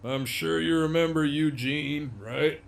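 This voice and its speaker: Male actor as jailed gang boss, gravelly creaking voice, unhurried, cocky, swaggering, wryly menacing, audio drama. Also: fairly high pitch, fairly even intonation. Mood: cocky, teasing. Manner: swaggering, foul-mouthed, drawling. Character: cocky, menacing, wryly amused imprisoned gang leader, adult man.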